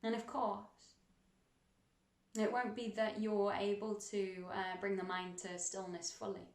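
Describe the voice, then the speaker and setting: A woman leading a guided meditation in a gentle, soothing, unhurried manner, leaving long pauses between instructions. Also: medium pitch, level tone. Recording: roomy, echoing sound.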